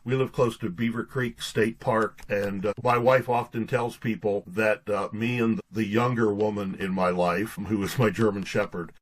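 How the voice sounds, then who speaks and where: A man's voice: lowish voice, fairly even intonation, normal speed, hardly any pauses, low-quality recording. A man in his late sixties, a pastor and guest, chatting and telling stories in an interview, often repeating words. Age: 60s. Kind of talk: casual storytelling interview talk.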